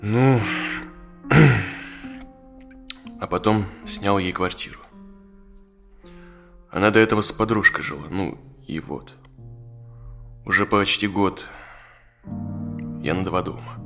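Low male voice, conflicted forty-year-old husband, hesitant, confessional, naturalistic audio play, faint guitar music behind. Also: fairly even intonation, takes it slowly, long pauses. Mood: subdued, hesitant. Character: conflicted married dentist of forty, confiding in a therapist. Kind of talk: naturalistic audio drama dialogue. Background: plucked guitar music.

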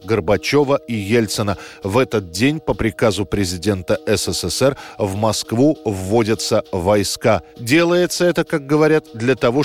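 A man reads a scripted history documentary narration. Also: on the low side. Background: music bed.

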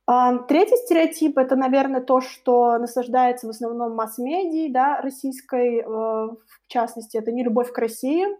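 A young woman with a fairly high, very soft-toned and mellow voice speaks as an interview guest. She explains things in an informal, conversational way, often acting out what other people might say. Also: fairly even intonation, moderate pace. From Russia. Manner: explanatory, informal, illustrates with imagined quotes. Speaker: interview guest, co-founder of a study-abroad project.

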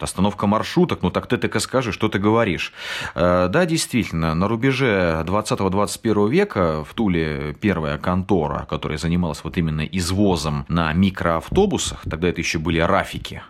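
A middle-aged man with a Tula Russian accent, his voice sitting very low but swinging widely as he talks. He explains things like a tour guide, filling pauses with drawn-out "uh" sounds and acting out little dialogues. Relaxed, conversational delivery. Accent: Tula Russian, akanye (non-stressed O said as A). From Tula region, Russia. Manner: hesitant with frequent "э" fillers, storytelling, acts out dialogues. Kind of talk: casual explanatory chat.

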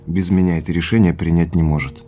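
Over faint music comes a low-pitched man acted by a male performer, an earnest husband who runs a business. He sounds determined and protective, in natural, conversational audio drama style. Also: fairly even intonation, quick pace, mellow, lightly acted. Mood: resolute.

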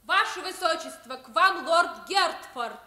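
A high, bright royal page boy. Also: expressive, a little slow, heavily acted. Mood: formal, matter-of-fact. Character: formal, deferential young palace page boy. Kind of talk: Russian radio play acting.

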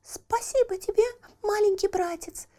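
A woman's voice: very high voice, measured pace, dark tone, voice pushed up. A woman voicing a tiny female bird in a children's fairy tale, chirping, grateful and helpful. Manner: chirping, exclaiming.

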